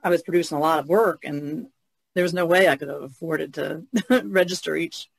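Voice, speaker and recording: Higher-pitched man with a creaky voice. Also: normal speed, no echo. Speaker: artist in a podcast discussion. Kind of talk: casual chat, personal experience.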